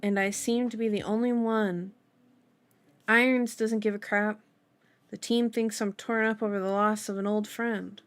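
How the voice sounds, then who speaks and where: A woman's voice: medium pitch, level tone, measured pace, soft background music, lightly acted. Woman as a worried, serious policeman. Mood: brooding.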